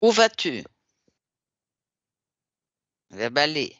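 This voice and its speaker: A Brazilian man teaching French online, his native Portuguese beneath the French. He speaks slowly, and his pitch rises and falls widely as he drills questions and repeats corrected answers. The call audio is dull and lo-fi, with a dry sound. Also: sing-song, fairly bright tone, dry recording. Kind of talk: language class drilling questions.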